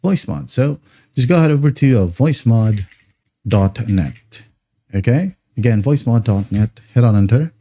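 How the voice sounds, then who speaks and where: Very dark-voiced man giving a tutorial. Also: medium pitch, expressive, measured pace. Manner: frequent checking tags like okay and right. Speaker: tutorial host. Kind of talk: casual step-by-step software tutorial voice-over.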